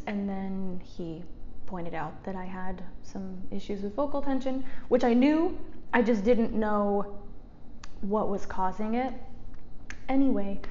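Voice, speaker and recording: A chatty young woman talks to her viewers through a desk mic at home. She rambles, laughs a lot, and speaks in a soft, resonant voice. The sound is a little dull, with a faint background hiss. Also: a little high. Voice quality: soft resonant speaking voice after speech therapy. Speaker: YouTuber. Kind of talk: chatty get-ready-with-me vlog. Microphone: desk mic in front of her.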